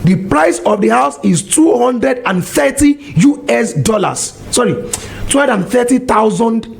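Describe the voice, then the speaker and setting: A man's voice: very high-pitched, expressive, measured pace, a little hiss or hum. A man, preaching a monologue full of exhortations and rhetorical questions. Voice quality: gravelly. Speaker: religious commentator.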